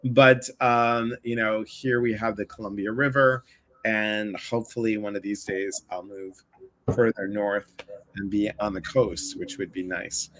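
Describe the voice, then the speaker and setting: A man's voice: lowish voice, fairly even intonation, unhurried, a bit bright, low-quality recording. A man from the Chicago area hosting a casual livestream conversation, chatty and full of asides. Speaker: livestream host.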